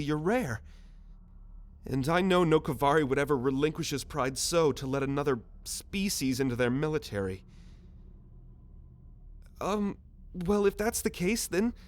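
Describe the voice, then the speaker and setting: A young male alien captive, acted by a man in an ASMR roleplay drama. His voice is fairly high, and he speaks in a hesitant, excitable stammer, wary but earnest and polite. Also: moderate pace, lightly acted. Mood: curious, earnest.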